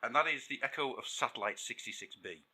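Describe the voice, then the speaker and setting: A man co-hosting a casual podcast, talking in an animated, rambling way with plenty of fillers and restarts. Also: medium pitch, fast talker, husky, poor audio. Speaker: podcast co-host.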